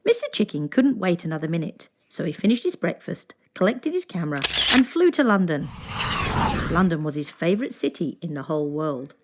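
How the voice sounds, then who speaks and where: A woman narrating a children's storybook aloud in an expressive, deliberate storytelling manner. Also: lowish voice.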